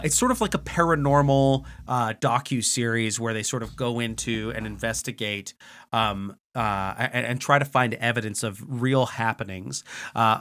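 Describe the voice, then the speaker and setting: A man on a comedy podcast improvising a mock pitch in casual banter, haltingly and with repeated words. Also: bright, forceful tone.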